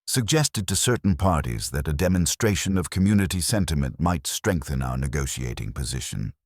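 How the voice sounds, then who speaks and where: A man acts out a small-town mayor in an audio mystery, torn and guarded. The voice is very low and formal, choosing each diplomatic phrase with care. It sounds dry and close. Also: animated, fairly quick, dry recording. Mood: careful. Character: conflicted male small-town mayor, careful and defensive.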